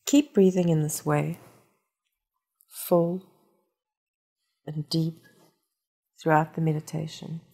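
A woman leading a guided meditation, speaking in a calm, soothing and unhurried instructional manner. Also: sits low, creaky.

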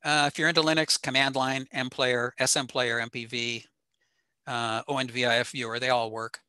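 An older man giving an informal technical slide presentation in a halting, matter-of-fact way. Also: mid-range voice, level tone, pushed and bright, smooth, dry recording. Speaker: presenter at an amateur radio talk. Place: small room at home, on a video call.

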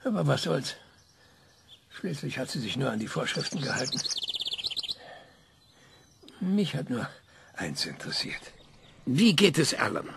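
Gravelly proud old scientist. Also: male voice, mid-range voice, very animated, measured pace, pauses often, noisy background. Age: older. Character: proud aging male scientist Winston, bitter. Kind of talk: radio play acting.

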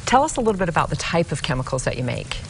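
A woman's voice: deep voice, big pitch swings, racing, gravelly, slight background noise, poor audio. A woman in her forties hosting a sponsored TV studio interview, upbeat and affirming toward her guest. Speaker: TV host. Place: TV studio set, seated on a sofa. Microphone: lapel mic.

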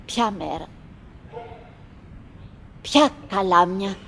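An actress playing a wistful middle-aged woman who chatters bravely to her husband in halting, theatrical radio drama monologue. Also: medium pitch, animated, creaky, a bit bright. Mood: reflective, halting. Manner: halting, fragmented, dramatic, swinging between murmurs and calls.

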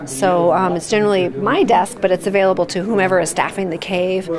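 A woman's voice: fairly low pitch, fairly even intonation, brisk, a crowd in the background. A middle-aged woman casually explaining products on a store tour, speaking into a handheld recorder in a small indoor shop. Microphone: handheld recorder with windscreen held by interviewer.

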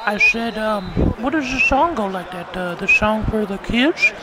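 A man voicing a creepy, chatty old man on a prank phone call, halting and repeating himself. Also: high-pitched, expressive, pitched above the performer's own voice. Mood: inquisitive. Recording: very noisy call over game audio.

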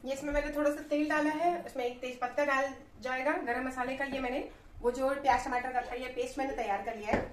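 A woman's voice: high-pitched, fairly even intonation, fast talker, distant mic, slight background noise. Chatty woman cooking in an echoey kitchen. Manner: chatty, explains each step while cooking. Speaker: cooking vlogger. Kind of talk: casual cooking walkthrough. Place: small home kitchen. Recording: roomy kitchen sound, off-mic, music underneath.

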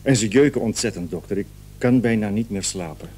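An actor playing an anxious, long-suffering patient who stammers and repeats himself in a radio drama. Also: male voice, lowish voice, brisk, a little mellow, lightly acted. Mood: worried, plaintive. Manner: hesitant, stammering, repeating words. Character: anxious, long-suffering male allergy patient, hesitant and plaintive. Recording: old radio recording, faint hum.

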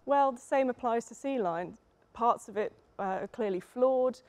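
A woman's voice: fairly high pitch, normal speed, darkish tone. A young British woman speaking as an expert panellist, explaining emphatically in phrases that often restart. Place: theatre stage, seated panel.

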